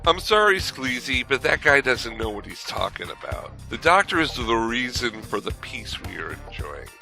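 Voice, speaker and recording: A man's voice: mid-range voice, very animated, normal speed, vocal fry, pushed and bright, soft background music, exaggerated voice. A man playing an indignant, idealistic phone-in caller in an audio drama, insistent and earnest. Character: indignant, idealistic citizen calling a radio phone-in.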